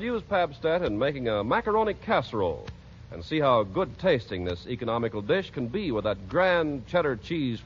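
A man announcing and narrating in polished, emphatic 1940s radio style, reading scripted sponsor copy and story links. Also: on the high side. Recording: muffled, noisy 1940s radio recording.

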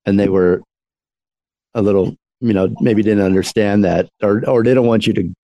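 Male radio interviewer, dark mellow tone, dry close sound, long rambling questions. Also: fairly low pitch, steady tone, moderate pace, no echo.

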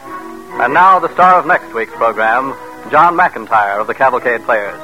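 A man's voice: medium pitch, animated, measured pace, bright, forceful tone, soft background music. An American man hosting a 1940s radio program with formal, dramatic scripted narration. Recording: dull vintage radio recording.

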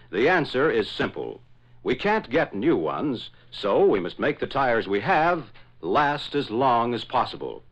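A man narrating and announcing in scripted 1940s radio style, with emphatic, deliberate delivery. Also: medium pitch, fairly bright tone. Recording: dull old radio recording.

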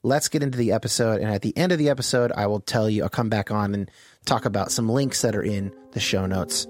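A man's voice: fairly low pitch, faint music behind. A man hosting a podcast, talking earnestly and carefully as he introduces the episode and interviews a guest. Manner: earnest, measured, explanatory. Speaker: podcast host.

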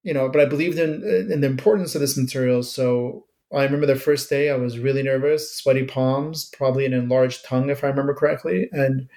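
A man's voice: mid-range voice, steady tone, quick pace, hardly any pauses, darkish tone. A man in his thirties from Iran, a native Persian speaker, talking as an author guest in an interview in a reflective way, with many ums and restarts. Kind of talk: interview, personal background.

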